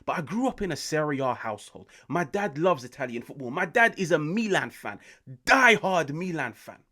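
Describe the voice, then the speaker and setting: A British man in his late twenties, hosting an excited football reaction, swearing often and swinging between loud outbursts and hushed asides. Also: on the high side, normal speed. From United Kingdom. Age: 20s. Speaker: football channel host.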